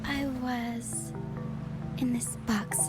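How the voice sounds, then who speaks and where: A woman voicing a gentle, helpful young girl in a video game, soft-spoken and earnest with a playful streak. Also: on the high side, fairly even intonation, very slow, loud music behind the voice. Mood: calm, friendly. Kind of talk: video game cutscene voice acting.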